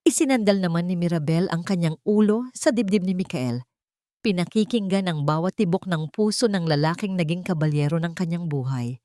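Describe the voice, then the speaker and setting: Female narrator, lower register, for sentimental drama storytelling; solemn delivery, very dry close studio sound. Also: brisk, mellow, no echo. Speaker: narrator of love stories.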